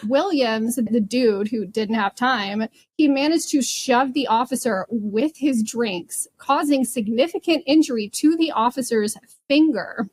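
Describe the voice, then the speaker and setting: A woman's voice: a little high, a little slow, hardly any pauses, a little mellow. A woman co-hosting a podcast, telling true crime stories casually with a wry, giggly manner. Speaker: podcast co-host.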